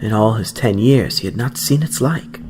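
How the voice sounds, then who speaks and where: A man's voice: on the low side, lively intonation, moderate pace. A man narrating an audiobook story in a dramatic, scripted reading style. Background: background music.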